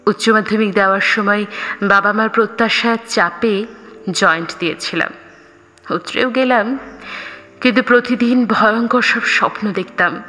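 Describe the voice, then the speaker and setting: A woman reading a scripted first-person story expressively, with dramatic pauses. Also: medium pitch, bright, forceful tone. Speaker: audio story narrator. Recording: reverberant, music underneath. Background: background music.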